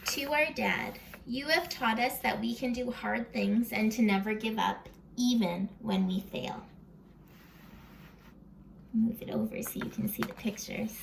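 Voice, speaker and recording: A woman hosting a read-aloud for young children, expressive and full of questions, sometimes dropping into a whisper, recorded at home. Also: a little high, unhurried, vocal fry, a little hiss or hum, poor audio. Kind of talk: animated children's storybook read-aloud with chat to the listeners.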